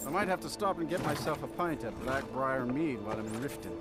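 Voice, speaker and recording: A rough, easygoing carriage driver from a fantasy video game, acted by a man. The pitch is medium, and music plays clearly behind the voice. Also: expressive, a little fast, close to an everyday voice. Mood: casual. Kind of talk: scripted fantasy game dialogue.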